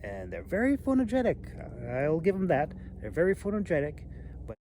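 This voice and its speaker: A man's voice: fairly high pitch, huge pitch swings, brisk, darkish tone. Rambling, hesitant man, noisy outdoor recording. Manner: hesitant, wry, trailing off mid-thought. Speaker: amateur knife reviewer. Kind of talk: casual rambling product review. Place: outdoors in a snowy open river valley. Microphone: phone at arm's length. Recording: outdoor phone recording with background noise.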